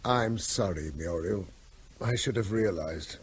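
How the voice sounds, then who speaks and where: An actor playing a reserved, evasive middle-aged vet in a radio drama. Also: male voice, lowish voice, a little slow, slight background noise, natural voice. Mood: subdued. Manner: subdued, evasive. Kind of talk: 1970s radio drama acting.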